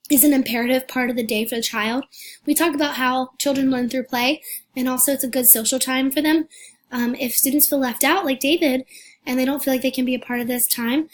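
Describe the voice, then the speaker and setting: A hoarse young woman giving a recorded class presentation, talking hesitantly with many fillers and restarts. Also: high voice, a little hiss or hum. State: hoarse, losing her voice. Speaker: student presenter.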